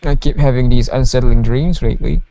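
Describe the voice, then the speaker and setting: A man's voice: medium pitch, steady tone, brisk, dark tone, no room sound, faint music behind. A man streaming a game, reading its dialogue aloud and reacting to it.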